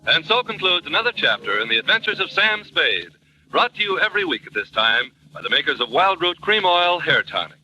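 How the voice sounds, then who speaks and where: A man's voice: fairly high pitch, big pitch swings, a little fast, bright, forceful tone, faint music behind, full character voice. A man as a polished, wry announcer narrating old-time radio comedy, on a muffled, noisy vintage recording.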